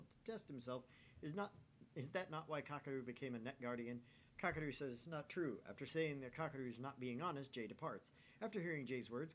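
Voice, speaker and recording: A man reading a visual novel aloud as a gaming channel host. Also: mid-range voice.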